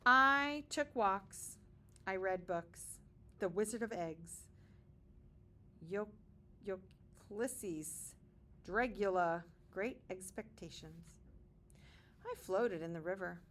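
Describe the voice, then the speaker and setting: A woman's voice: medium pitch, expressive, slow pace, long pauses, pushed and bright, slight background noise. A woman reading a children's picture book aloud with dramatic emphasis and short explanatory asides, like a kindergarten teacher.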